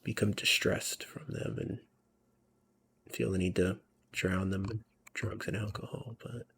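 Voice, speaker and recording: A man giving casual, rambling commentary over a video game, musing about himself and often dropping to a soft near-whisper. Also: on the low side, steady tone, a little slow, creaky, slight background noise. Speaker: let's play commentator.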